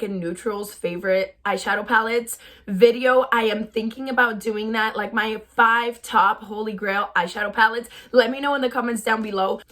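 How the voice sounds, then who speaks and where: A young woman beauty vlogger with a raspy, tired voice, chatting casually about products, gushing and full of "like" fillers. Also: fairly high pitch, fairly bright tone. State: voice tired from shouting. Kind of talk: casual product review chat.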